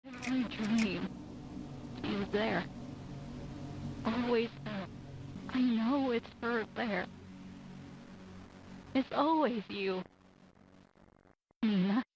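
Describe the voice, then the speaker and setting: A woman playing a haunted young woman trapped in recurring nightmares, in a halting horror monologue, recorded muffled and lo-fi. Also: a little high, darkish tone, slightly rough, full character voice. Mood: haunted, subdued. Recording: muffled lo-fi tape with music.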